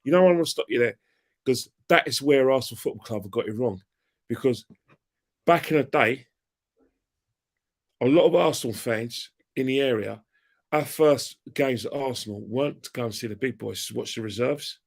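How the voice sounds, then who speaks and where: A man's voice: medium pitch, moderate pace, creaky, pauses often. A man, ranting in a heated casual chat about football fandom and trading colourful insults. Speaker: fan channel guest.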